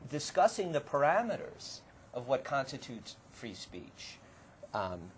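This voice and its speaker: Measured man on a studio panel. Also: medium pitch, sing-song, a little hiss or hum. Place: community TV studio.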